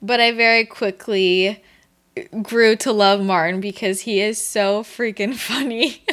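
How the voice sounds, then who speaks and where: A woman's voice: mid-range voice, steady tone, measured pace, a bit bright. A woman hosting a podcast, reading an ad and introducing her guest in an enthusiastic, chatty way. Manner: enthusiastic, chatty, laughs while talking. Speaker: podcast host.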